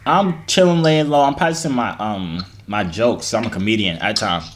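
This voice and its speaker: A man's voice: fairly low pitch, moderate pace, noisy background. Teasing young man on a phone video chat.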